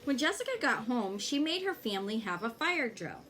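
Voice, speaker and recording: A woman reading a children's story aloud in an expressive way, pausing to check in with young listeners. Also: on the high side, slight background noise. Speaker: fire inspector reading a children's book aloud.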